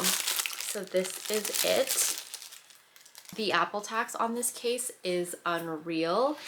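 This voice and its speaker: A woman's voice: on the low side, very noisy. A young woman from the Philippines whose first language is Tagalog, chatting casually as a vlogger with many fillers and restarts. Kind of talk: casual chat.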